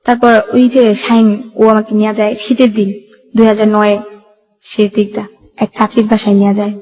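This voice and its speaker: A woman's voice: medium pitch, flat delivery, normal speed, a little mellow, highs cut off. A young woman with a colloquial Bangladeshi Bengali accent, telling her life story in a plain, run-on way as a radio interview guest.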